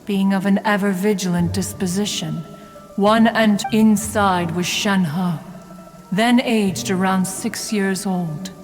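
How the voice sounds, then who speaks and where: A woman's voice: mid-range voice, fairly even intonation, slow, faint music behind, natural voice. A woman voicing a haughty, ancient immortal in a video game, speaking in a formal, archaic, stately manner. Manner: formal, archaic, refers to self as "one". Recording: long hall-like reverb.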